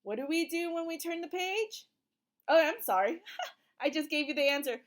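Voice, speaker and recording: A woman's voice: sits high, lively intonation, normal speed. A woman reading a picture book aloud to young children in an encouraging, sing-song teacher's manner, asking questions and drawing out short phrases.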